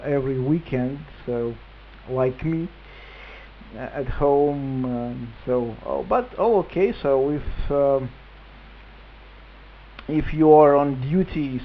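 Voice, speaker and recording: A Russian-accented man chats as a radio amateur, slowly and haltingly, feeling for his words. The sound is a little dull, with faint noise around his mid-pitched voice. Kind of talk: casual ham radio chat. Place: at home at a computer. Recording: muffled, slightly noisy radio link recording.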